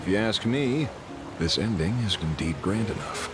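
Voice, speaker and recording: Game role: man plays worldly sardonic rogue, low-pitched voice, cool composure, music underneath. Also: animated, normal speed, natural voice. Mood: wry, composed. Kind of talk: video game voice acting.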